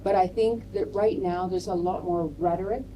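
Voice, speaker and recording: A woman marketer on a debate panel, speaking in a measured way and leaning on "you know" and "um" as she builds her points. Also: lowish voice, fairly even intonation, mellow. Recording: distant mic with clear background noise.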